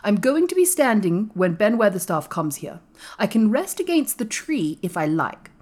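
A woman's voice: mid-range voice, sing-song, moderate pace, darkish tone, voice pushed up. A woman voicing a proud, frail boy in a storybook, giving orders in a grand, commanding way. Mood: determined.

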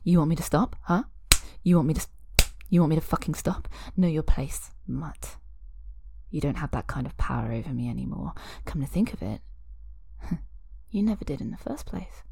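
A woman voicing an obsessive young woman who holds her lover captive, sweet on the surface and cruel beneath, talking in breathy whispers and giggles. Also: deep voice, expressive, moderate pace, mellow, heavily acted. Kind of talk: audio roleplay drama.

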